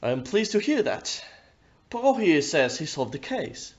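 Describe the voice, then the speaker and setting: A man's voice: on the high side, big pitch swings, unhurried, lo-fi sound. A man playing a blunt, businesslike ship's captain in an audio play. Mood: cordial. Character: brisk, impatient male ship's captain. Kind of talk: amateur audio play acting.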